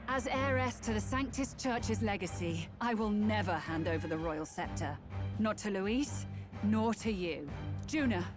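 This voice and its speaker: A woman playing a serene, cold church heiress in a fantasy game, with a measured, lofty, theatrical delivery. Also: mid-range voice, lightly acted. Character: serene, cold church heiress, a self-appointed saintly villainess. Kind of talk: dramatic fantasy game acting.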